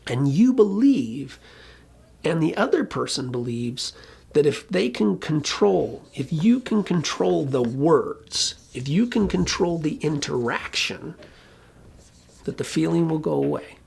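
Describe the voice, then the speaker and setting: A presenter giving an informal explainer talk in a colloquial, emphatic way, with a gravelly, husky voice. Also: medium pitch, a little mellow. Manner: colloquial, emphatic, occasionally swearing. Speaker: presenter explaining relationship conflict.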